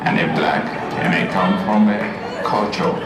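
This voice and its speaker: A man on a school staff giving measured answers in an interview. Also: fairly low pitch, level tone, a loud crowd. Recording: very echoey, distant, loud music.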